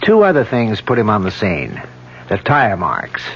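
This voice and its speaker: A man's voice: fairly low pitch, wildly swooping pitch, unhurried, fairly bright tone, breathy edge, heavily acted. An actor playing a methodical police detective explaining his case in an old radio drama, matter-of-fact. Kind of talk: 1970s radio drama acting. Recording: dull lo-fi old radio broadcast.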